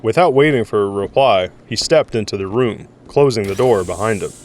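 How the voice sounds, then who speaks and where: A man's voice: lowish voice, fairly quick, a little mellow, a little hiss or hum. A man narrating a scripted audio drama in the role of storyteller.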